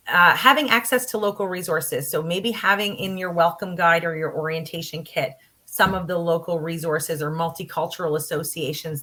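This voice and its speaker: A woman's voice: fairly low pitch, sharp tone. A woman college instructor giving a conference talk in an earnest, practical way, with frequent fillers. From Canada. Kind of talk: conference presentation.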